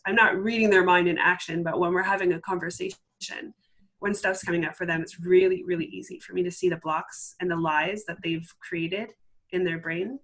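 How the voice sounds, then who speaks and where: A woman's voice: fairly low pitch, a bit bright, poor audio. A woman, speaking as an interview guest and coach, earnest and conversational, acting out quoted exchanges as she explains. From Canada.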